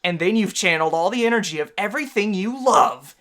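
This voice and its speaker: A woman voicing a brash, fiery fish-warrior woman who bosses and encourages loudly in a visual novel game. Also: lively intonation, fast talker, close to an everyday voice. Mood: bossy, encouraging. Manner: forceful, booming encouragement. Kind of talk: amateur visual novel voice acting.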